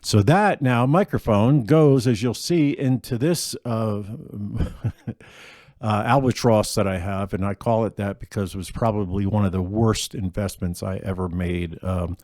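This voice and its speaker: A middle-aged man with a deeper voice giving a relaxed, rambling talk about his gear, full of ums and restarts. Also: unhurried, no room sound, studio quality. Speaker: gigging acoustic musician. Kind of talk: casual gear rundown.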